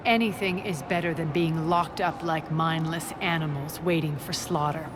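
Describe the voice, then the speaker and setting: A woman's voice: deep voice, fairly even intonation, moderate pace, background noise, close to an everyday voice. A woman playing a ruthless, imperious alien crime queen in a video game, commanding, haughty and contemptuous. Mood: smug, scornful. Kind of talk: cinematic video game acting. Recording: game music behind the voice.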